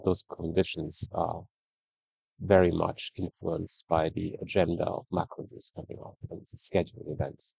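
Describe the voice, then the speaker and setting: A man giving a relaxed, conversational screen-share review of trading charts, often ending points with "right?". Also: deep voice, normal speed, creaky, slightly rough, dry recording, highs cut off. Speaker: trading educator.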